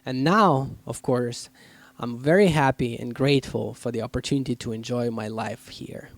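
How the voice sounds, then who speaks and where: A man's voice: mid-range voice, normal speed. A young man from Ukraine, speaking with a Ukrainian accent, earnestly narrating his own life story as a channel host. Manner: earnest, reflective, grateful.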